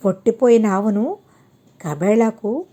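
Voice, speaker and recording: A woman's voice: mid-range voice, slow, warm tone. A woman voicing a respectful, plain-spoken male farm servant in a storybook tale. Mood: deferential. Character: deferential male farmhand servant.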